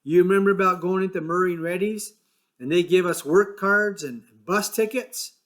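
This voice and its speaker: A man voicing a small, irritable ranch hand who talks gruffly, swears and sounds fed up. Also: sits high, moderate pace, a bit bright, pitched above the performer's own voice. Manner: gruff, exasperated, swearing, rough rural speech.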